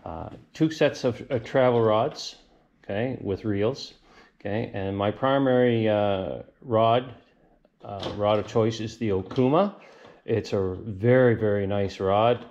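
A man hosting a fishing vlog, talking casually in a folksy, unscripted, halting way. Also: fairly low pitch, darkish tone, dull audio. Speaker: fishing channel host. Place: garage, outdoor beach and shoreline, varied spots.

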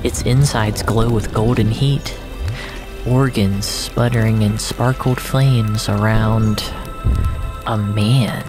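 Slow narrator over loud music. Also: mid-range voice, fairly even intonation. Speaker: narrator of a surreal fiction story. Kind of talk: first-person dramatic story narration.

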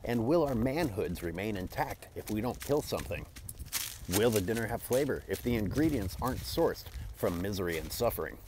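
A man's voice: on the low side, animated, normal speed, background noise. A young man giving scripted, tongue-in-cheek voice-over narration for his foraging vlog.

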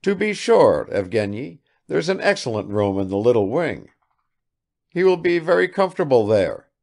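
A man's voice: mid-range voice, big pitch swings, moderate pace, creaky, a little mellow, voice pushed up. A man reading aloud and voicing an eager, garrulous, good-natured old country father who chatters too much.

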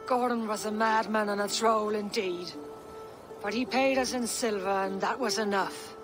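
A woman playing a wary, hard-bitten Norse mercenary in a game, skeptical and deliberate. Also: mid-range voice, steady tone, over music, lightly acted. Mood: wary concern. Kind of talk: dramatic game cutscene acting.

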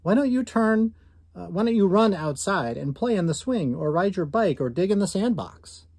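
A busy storybook mother, played by a man reading aloud, firm and reasoning with mild exasperation. The voice sits a bit high, in a dry recording. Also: expressive, moderate pace, darkish tone, husky, no room sound, full character voice. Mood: busy, placating.

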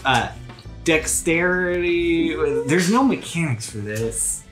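A man's voice: fairly high pitch, animated, slow, a bit bright, faint music behind. A man running a tabletop game as game master, narrating casually.

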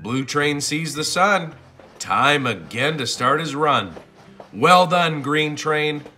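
A man reading a children's storybook aloud in an expressive, sing-song and deliberate way. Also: fairly high pitch, faint music behind. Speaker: storybook narrator.

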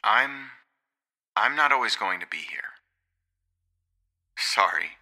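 An actor playing a gentle, grave father in an audio drama, speaking haltingly and tenderly to his young daughter. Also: on the low side, animated, creaky, heavily acted. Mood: sorrowful, hesitant.

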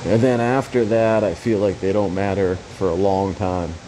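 A man's voice: low-pitched, steady tone, moderate pace, noisy background. A young man casually commenting on a mobile fighting game, rambling and swearing with joking asides.

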